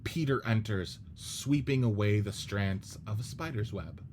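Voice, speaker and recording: Low-voiced man reading stage directions. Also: unhurried, noisy background. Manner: steady, descriptive reading. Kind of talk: scripted radio play narration.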